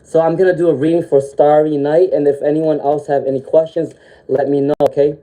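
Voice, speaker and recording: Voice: mid-range voice, flat delivery, brisk, low-quality recording. A tarot reader doing a live-stream reading while fighting a cold, with frequent "um"s and a habit of tagging "okay?" onto sentences. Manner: ends sentences with a checking "okay?"; frequent "um" fillers, gentle reassuring delivery. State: has a cold, sniffling.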